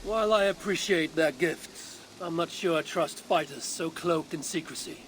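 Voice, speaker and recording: A woman playing a blunt, suspicious Viking warrior in a video game, with a low, husky voice and a Scandinavian accent. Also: moderate pace, lightly acted. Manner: blunt, terse, challenging. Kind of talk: video game cutscene acting. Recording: game scene with ambient noise.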